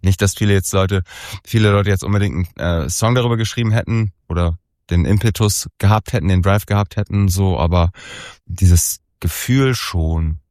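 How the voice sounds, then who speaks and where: A man's voice: low-pitched, normal speed, darkish tone, dry recording, studio quality. A man in his forties, a musician being interviewed, talking casually and rambling with many fillers and restarts. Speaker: musician guest.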